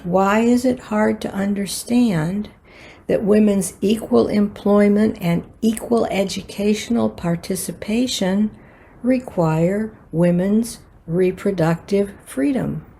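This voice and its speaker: An older American woman reading a prepared personal reflection for a worship service in a measured, earnest manner. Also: mid-range voice, creaky, mellow, breathy edge. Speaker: worship associate.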